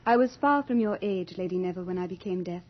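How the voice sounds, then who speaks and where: An actress playing a young, beautiful female Death in a radio horror drama, theatrical and deliberate, capricious and longing to be mortal. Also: fairly low pitch, lightly acted. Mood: solemn. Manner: theatrical, deliberate, breathy at moments. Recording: dull old radio drama with music.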